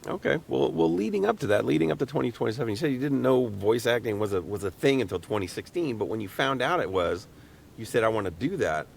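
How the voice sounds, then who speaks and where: Hoarse, gravelly man hosting. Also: medium pitch, lively intonation, fast. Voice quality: rough, raspy. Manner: joking, friendly banter. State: hoarse from being sick. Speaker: podcast co-host interviewing guests. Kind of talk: casual chat.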